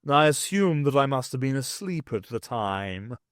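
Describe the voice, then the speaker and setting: A man's voice: mid-range voice, very animated, normal speed, dry recording, clean studio sound, close to an everyday voice. A man voicing an anxious, baffled male cat companion who speaks in a formal, old-fashioned way.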